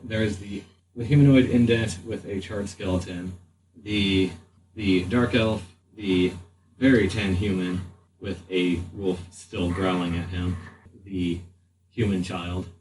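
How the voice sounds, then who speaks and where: A man with a fairly low voice runs a tabletop game as game master. His narration is halting and deliberate, picked up at some distance from the mic with faint noise in the recording. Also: steady tone, a little mellow.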